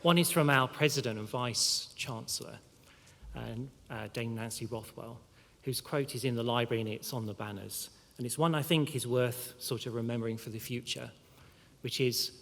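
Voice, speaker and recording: A man addressing graduates at a ceremony, speaking in a measured way with pauses and the odd filler. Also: mid-range voice, gravelly, a bit bright. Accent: non-local, not Mancunian. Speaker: university official giving a graduation address. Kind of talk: formal ceremony speech. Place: large hall. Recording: long reverb of a large hall.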